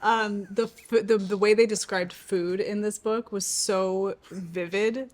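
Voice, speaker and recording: A woman's voice: mid-range voice, fairly even intonation, takes it slowly. Casual, enthusiastic woman chatting playfully. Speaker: co-host of a book channel. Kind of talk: casual playful chat, book guessing game.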